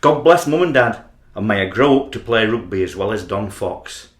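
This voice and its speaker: Bloke acting rugby obsessive, dramatic aggrieved, voice up and down, bit echoey. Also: male voice, on the low side, animated, moderate pace, natural voice. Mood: praying. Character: earnest, obsessive male rugby league fan, trainee social worker. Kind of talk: radio play monologue and dialogue. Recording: roomy amateur recording, faint background noise.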